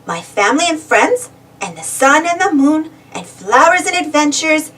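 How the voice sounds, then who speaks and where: High-pitched woman reading a children's storybook. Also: moderate pace, far from the mic, rough recording. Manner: expressive, asking questions directly to young listeners. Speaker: storybook reader. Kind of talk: animated read-aloud storytelling for young children.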